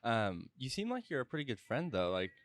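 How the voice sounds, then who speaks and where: A man's voice: mid-range voice, wildly swooping pitch, brisk, a little hiss or hum. A young man hosting a casual interview, asking his questions in a tentative, hesitant way. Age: young adult. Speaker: podcast host and interviewer. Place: small indoor lounge, on a leather couch. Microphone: close podcast microphone with headphones.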